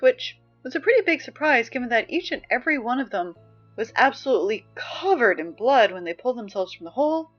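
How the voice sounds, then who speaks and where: A woman acting as an exasperated, wry high school student reading morning announcements in an apocalypse audio drama, halting and conversational. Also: a bit bright, dull audio. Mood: insistent, prying. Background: music.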